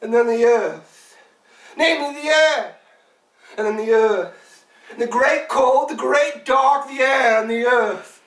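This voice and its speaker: A woman's voice: a little high, slow, long pauses. A woman performing a theatrical monologue as a frantic, babbling servant spouting broken scholarly nonsense in stammering, repetitive declamation. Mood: anguished, fading. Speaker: actor. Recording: echoey room, distant microphone.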